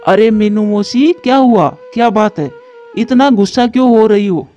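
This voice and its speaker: A male actor as a calm, measured bird in a children's cartoon. His voice sits high for a man, and he explains things evenly over faint music. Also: dull audio, natural voice. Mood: calm, friendly. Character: calm male crow husband and stately eagle king. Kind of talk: Hindi children's cartoon dialogue. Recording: music behind the voice.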